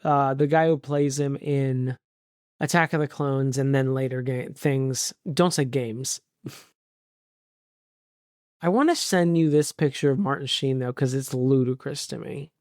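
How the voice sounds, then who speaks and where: A podcast co-host in a casual movie chat, talking in an animated way with jokey asides and exclamations. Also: medium pitch, unhurried, no room sound, studio quality.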